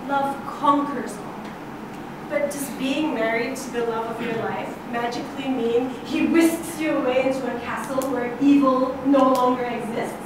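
Young woman speaking in a very echoey room. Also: sits high. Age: young adult. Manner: theatrical, expressive delivery with dramatic pauses. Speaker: public speaker at a speaking club. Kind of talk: rehearsed humorous storytelling speech. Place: indoor meeting room. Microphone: far camera. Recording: echoey room, distant mic, loud background noise.

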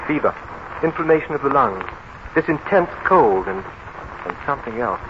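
An actor playing a grave, concerned family doctor in 1930s radio drama. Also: male voice, medium pitch, lively intonation, normal speed, close to an everyday voice. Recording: muffled, noisy 1930s radio recording.

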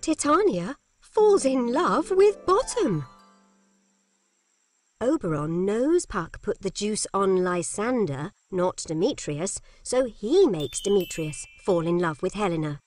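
A woman narrating a children's story in simple, clearly scripted lines with expressive delivery. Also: mid-range voice, slow pace, no room sound. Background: music.

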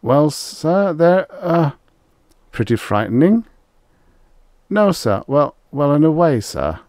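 A man's voice: on the high side, very animated, slow pace, a little mellow, dry recording, studio quality, voice pushed up. A man voicing a frightened, servile hunting guide who stammers and pleads as he reads a science fiction story. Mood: nervous. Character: terrified, pleading, cowardly male hunting guide.

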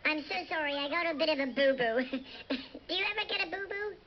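A man voicing a cute, boastful British chipmunk who chats excitably on a radio phone-in show. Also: very high voice, fast talker. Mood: sheepish, chatty. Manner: chatty, excitable, self-deprecating boasting. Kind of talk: comic radio phone-in character chat. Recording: muffled radio replayed through a device speaker, faint noise.

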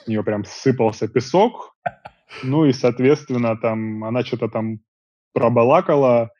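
A man in his thirties, an interview guest, chatting casually in long, relaxed stretches from a small room at home over earbuds on a video call. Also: mid-range voice, a little slow, no room sound. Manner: relaxed, talks in long rambling stretches with fillers. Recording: dull dry video call audio.

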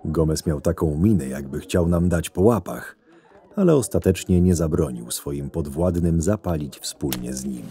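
A man playing a shrewd, sardonic right-hand man to a camp boss, narrating a fantasy audio drama in a measured way. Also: extremely low pitch, lively intonation, mellow, slightly rough, heavily acted. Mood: sardonic, reflective. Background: music.

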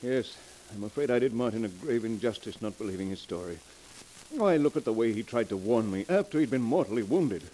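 A man's voice: fairly low pitch, expressive, brisk, dark tone, close to an everyday voice. Brusque actor's male business boss, hissy recording. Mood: uneasy, grave. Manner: brusque, authoritative, emphatic. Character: anxious, commanding wealthy businessman fearing murder. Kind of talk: 1940s radio drama acting. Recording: hissy crackling 1940s radio recording.

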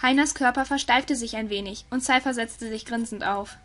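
A woman's voice: on the high side, normal speed, fairly bright tone. A woman narrator reading a scripted audio story. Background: music.

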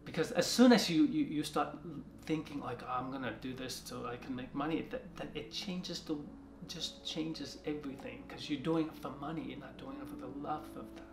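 Man, 40s, from China, mid pitch with a gravelly edge, gentle halting delivery, roomy room sound. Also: fairly even intonation, a little hiss or hum. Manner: soft-spoken, halting with frequent restarts. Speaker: artist. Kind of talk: reflective interview talk. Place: art studio, indoors. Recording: roomy studio sound with music behind.

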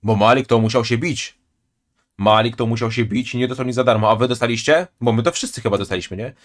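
A man's voice: mid-range voice, quick pace. Guy streaming and reacting, sarcastic, trips over his words a lot, desk mic. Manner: sarcastic, stammering asides. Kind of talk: casual reaction commentary.

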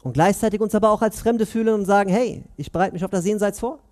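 Man, 30s, imam's Friday sermon; earnest, questioning delivery, high voice, headset mic. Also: darkish tone. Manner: earnest, questioning, pauses between phrases. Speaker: imam giving Friday sermon. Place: mosque prayer hall, indoors.

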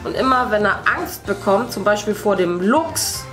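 A woman's voice: mid-range voice, expressive, normal speed, music in the background. A middle-aged woman, chatting casually as she paints indoors. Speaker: host, mother. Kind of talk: casual chat while painting. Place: indoor room at a craft table.

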